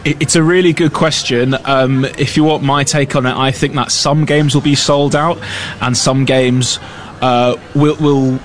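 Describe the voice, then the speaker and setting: A man reporting live on business news, talking loosely with fillers and restarts. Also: mid-range voice. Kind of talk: live news cross, conversational.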